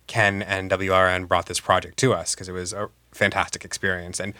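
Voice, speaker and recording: A man's voice: low voice, little pitch movement, brisk, pushed and bright, dry recording. An enthusiastic, emphatic man giving conversational interview answers as a playwright.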